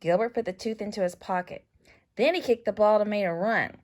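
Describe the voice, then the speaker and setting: A woman reading a children's story aloud as scripted narration, with expressive, deliberate phrasing for young listeners. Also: mid-range voice. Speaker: storybook reader.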